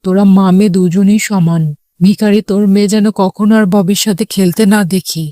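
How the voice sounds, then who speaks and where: A woman's voice: medium pitch, steady tone, a little fast, warm tone, dry recording, studio quality, natural voice. Woman as a haughty, quarrelsome cartoon auntie. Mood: furious. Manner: haughty, scornful. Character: haughty quarrelsome neighbour aunt, insulting, indignant. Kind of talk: children's cartoon dialogue.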